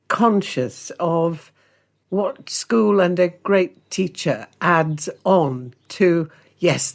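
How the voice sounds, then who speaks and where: Slow-speaking woman host. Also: lowish voice, darkish tone. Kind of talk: radio-style interview.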